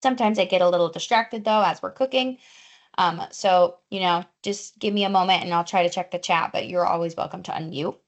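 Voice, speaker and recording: A woman's voice: lowish voice, brisk, highs cut off. A young adult woman, a dietitian, leading a live online cooking class in a chatty, friendly way with many fillers, recorded over a video call. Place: home or teaching kitchen.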